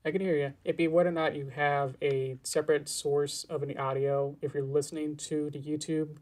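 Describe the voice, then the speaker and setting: A woman's voice: low voice, level tone, brisk, darkish tone. A woman chatting casually as a participant in a livestream. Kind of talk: casual chat.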